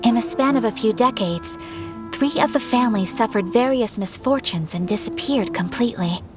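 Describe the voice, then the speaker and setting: A woman playing a poised, courteous young noblewoman in a video game, speaking in a formal, measured, polite way. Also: a little high, natural voice. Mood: composed, explanatory. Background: music.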